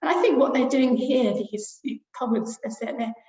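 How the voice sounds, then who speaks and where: A middle-aged woman lecturer giving an academic webinar talk over a video call, pausing often with fillers as she thinks aloud. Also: on the high side, expressive, mellow. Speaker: university lecturer presenting research. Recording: roomy, dull lo-fi webinar audio.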